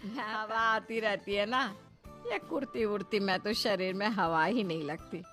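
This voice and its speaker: A woman's voice: medium pitch, measured pace, fairly bright tone, close to an everyday voice. Kindly old-fashioned cartoon mother-in-law, played by a woman, folksy and motherly, middle register, light music bed. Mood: folksy, cheerful. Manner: motherly, folksy advice-giving.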